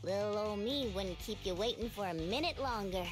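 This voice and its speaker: Woman as small anime-game girl with folksy, unhurried old-timer manner; loud music behind. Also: medium pitch, natural voice. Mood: laid-back, teasing.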